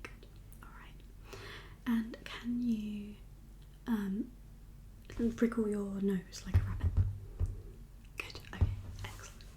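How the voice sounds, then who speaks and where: A woman speaks in a breathy whisper, playing a doctor in an ASMR roleplay. Her delivery is very slow and soft-edged, with gentle, reassuring instructions given step by step. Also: medium pitch, flat delivery, rough recording.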